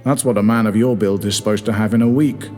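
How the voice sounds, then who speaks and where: Man playing a low, gentle, wise coffee trolley man. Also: fairly even intonation, fast talker, lightly acted. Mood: gentle concern. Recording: long reverb, music behind.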